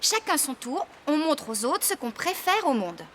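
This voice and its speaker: A woman voicing a brisk, practical young woman farmer in a French children's cartoon, with an expressive, organising manner. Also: high-pitched, a little hiss or hum. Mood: encouraging. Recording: loud music behind.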